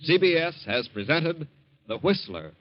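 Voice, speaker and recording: A man's voice: on the high side, big pitch swings, measured pace. A man with an American accent, making formal announcements in the style of 1940s radio. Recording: muffled 1940s radio recording, music behind.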